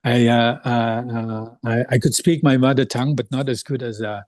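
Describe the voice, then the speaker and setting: A man speaking in a panel talk, hesitant and halting. He stops and restarts as he searches for words. His voice sits mid-range and sounds dry and close. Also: medium pitch, level tone, darkish tone, no echo. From Burma, raised in Thailand. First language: Thai. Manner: hesitant, many fillers and restarts. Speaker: artist.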